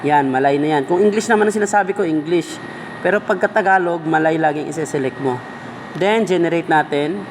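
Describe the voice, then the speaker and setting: Lalaking Pilipino, medyo mataas ang boses, may ugong. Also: male voice, on the high side, a little fast, background noise. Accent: Filipino. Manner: informal, addresses viewer as a buddy. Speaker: video editing tutorial presenter. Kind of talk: casual step-by-step tutorial talk.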